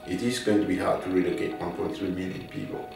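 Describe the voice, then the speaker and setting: A man from Mauritius speaking slowly and plainly in an interview. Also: low-pitched, fairly even intonation, gravelly. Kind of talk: interview testimony. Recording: echoey room from a distance, music behind.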